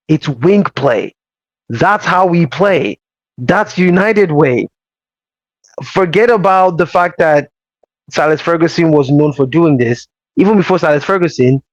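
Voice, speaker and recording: A man hosting a casual football show, explaining tactics in a measured, emphatic way. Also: fairly high pitch, dry recording. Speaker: host. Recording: slightly dull dry audio.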